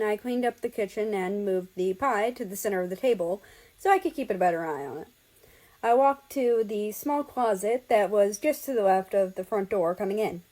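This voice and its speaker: A woman's voice: medium pitch, a little mellow, rough recording. A woman reading fan fiction aloud as an amateur audiobook-style narrator, telling it in the first person.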